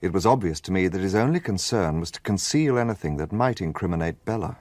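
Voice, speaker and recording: An actor playing an earnest, romantic gentleman who narrates and acts as a detective's companion in a radio drama. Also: deep voice, fast talker, dry recording. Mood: reflective narration. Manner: earnest, expressive.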